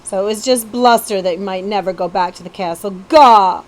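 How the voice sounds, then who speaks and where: A woman's voice: mid-range voice, a little fast, natural voice. Woman as a guarded, plainspoken young rat-catcher boy. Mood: incredulous.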